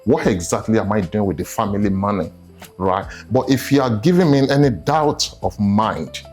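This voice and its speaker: A man on a discussion panel explains his point in a measured way, often checking in with "right?". His voice sits fairly low, with soft music faintly underneath.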